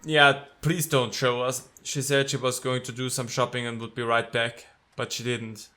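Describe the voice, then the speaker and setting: A man's voice: medium pitch, little pitch movement, normal speed, pushed and bright. A man doing let's play commentary, reading game text aloud and reacting with sarcasm and exasperated swearing. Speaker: let's player.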